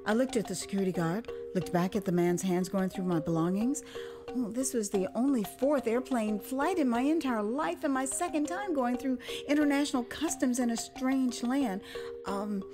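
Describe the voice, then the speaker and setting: Expressive American woman telling her story. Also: fairly high pitch, music in the background. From United States (Houston, Texas). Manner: expressive, conversational storytelling with dramatic pauses. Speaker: author and storyteller. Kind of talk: dramatized memoir narration.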